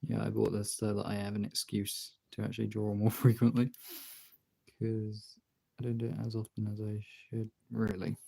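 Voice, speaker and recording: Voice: medium pitch, completely flat delivery, normal speed, dark tone. A young adult livestream host chatting casually and informally while drawing, often muttering to themselves between replies to viewers. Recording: clear background noise, clicks.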